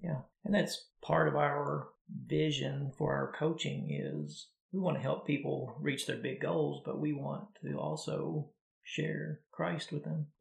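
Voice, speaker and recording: A man's voice: fairly high pitch, steady tone, measured pace, vocal fry, hardly any pauses, echoey room. A man co-hosting a casual podcast, chatting and telling a story in a conversational way. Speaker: podcast co-host.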